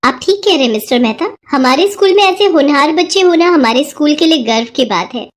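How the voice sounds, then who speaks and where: A woman's voice: high-pitched, expressive, brisk. A woman voicing a formal, authoritative female school principal in a cartoon story.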